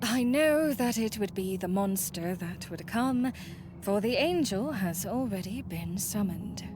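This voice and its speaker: A woman's voice: medium pitch, lively intonation, unhurried, background noise, natural voice. A woman playing a wistful, doting old friend in a visual novel, speaking in florid, archaic phrases. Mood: resigned, intense.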